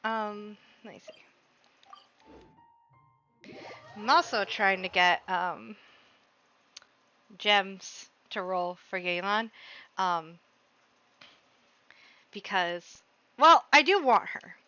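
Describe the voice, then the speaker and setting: A woman's voice: mid-range voice, drawn-out words, long pauses, sharp tone, no room sound, highs cut off. A woman hosting a livestream, chatting casually about the game she plays in a gushing, playfully dramatic way. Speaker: livestream host.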